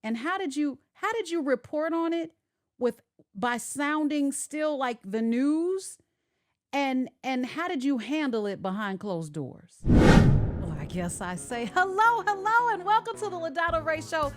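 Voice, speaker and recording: A woman's voice: sits high, expressive, unhurried, no echo, studio quality. A woman hosting a radio show in a casual, chatty way, speaking directly to her listeners. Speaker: radio and podcast show host.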